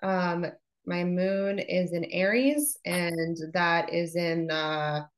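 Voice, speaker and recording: A woman's voice: on the low side, fairly even intonation, slow. Frank, laughing woman podcast host. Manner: laughing, frank, swears casually. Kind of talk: casual podcast chat.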